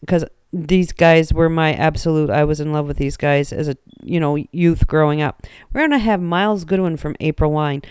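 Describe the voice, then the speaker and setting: A woman hosting a casual radio show, chatty and animated, laughing often. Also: on the low side, smooth, no room sound, studio quality.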